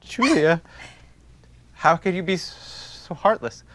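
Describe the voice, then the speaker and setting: An actor playing a wounded, pleading Victorian husband in a stage play, halting and mournful. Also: male voice, sits high, animated, fairly bright tone, full character voice. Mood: heartbroken. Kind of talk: stage play acting, period dialogue. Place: theater stage before an audience.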